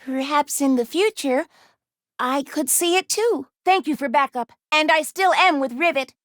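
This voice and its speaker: A woman voicing a gentle, anxious young female robot in a video game, speaking formally and precisely with careful diction. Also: high voice, animated, normal speed, dry recording, clean studio sound. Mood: earnest, concerned. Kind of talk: video game character voice lines.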